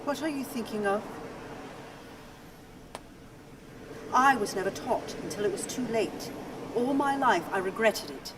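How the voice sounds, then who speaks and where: A woman playing a calm, wistful older wife in a radio play, measured, detached and gently reproving. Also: fairly high pitch, lightly acted. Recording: distant mic, noisy ambience.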